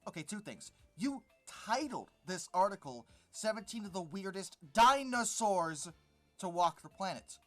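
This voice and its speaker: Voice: mid-range voice, a bit bright. A video host giving informal, exasperated and sarcastic commentary on an article, stressing words emphatically.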